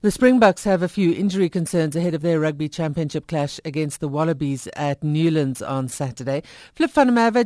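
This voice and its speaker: A woman with a South African accent presenting a radio sports news bulletin in a brisk, crisp manner. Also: deep voice, mellow, no echo. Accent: South African English. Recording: dry studio mic.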